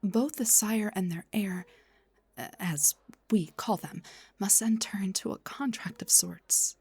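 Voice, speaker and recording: Woman playing vampire doctor, breathy, gentle, courteous, refined, slightly hesitant, ASMR roleplay, dry intimate recording. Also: medium pitch, unhurried, no echo. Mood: explanatory, gentle. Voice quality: breathy, whispery. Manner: polite, formal, slightly hesitant. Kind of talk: soft-spoken ASMR roleplay monologue.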